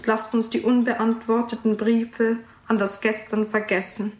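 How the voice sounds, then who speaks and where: A woman giving a measured poetry reading as a poet inviting others on a journey. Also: on the high side, flat delivery, natural voice. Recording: echoey room.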